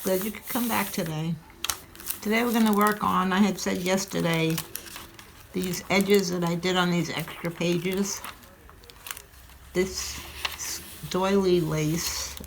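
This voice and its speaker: Low-voiced older woman, slightly stuffy. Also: creaky, poor audio. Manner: chatty, thinking aloud while working. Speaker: craft tutorial host. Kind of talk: relaxed craft demonstration. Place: craft table at home, indoors. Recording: audible crinkling craft noise.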